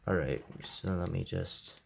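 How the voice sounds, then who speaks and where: A man's voice: low voice, a little slow, gravelly. A man chatting casually and unscripted as he hosts a homemade video demonstrating gadgets. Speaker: video host demonstrating weather radios. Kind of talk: casual unscripted vlog chat. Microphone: headset. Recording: heavy background noise, clicking.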